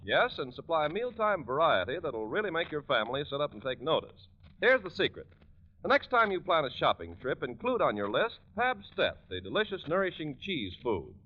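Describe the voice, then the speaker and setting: An American male announcer delivers scripted copy in polished 1940s radio style. His fairly high voice rises and falls emphatically like a salesman's, on a dull, band-limited vintage recording. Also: big pitch swings, moderate pace.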